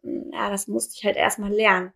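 A woman's voice: mid-range voice, flat delivery, normal speed, creaky, fairly bright tone. A young woman from Germany co-hosting a casual podcast, talking hesitantly with many fillers and self-corrections. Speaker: podcast co-host.

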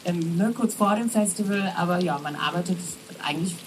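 Low-voiced chatty woman, rain behind. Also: fairly quick, background noise. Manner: chatty, many fillers and tag questions. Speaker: festival booking team member. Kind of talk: live panel talk.

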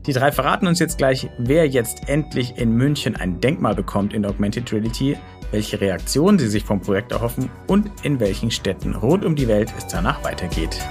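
Ein Mann, der als Gastgeber locker durch ein Podcast-Gespräch führt. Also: male voice, mid-range voice, expressive, normal speed, darkish tone, faint music behind. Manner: friendly, chatty moderating. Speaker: podcast host. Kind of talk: casual podcast interview.

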